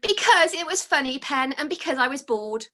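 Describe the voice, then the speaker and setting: A woman's voice: sits high, expressive, normal speed, pushed and bright, voice pushed up. A woman voicing a mischievous, stubborn adolescent fairy with a dismissive, cheeky manner, in a read-aloud storybook. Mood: smug.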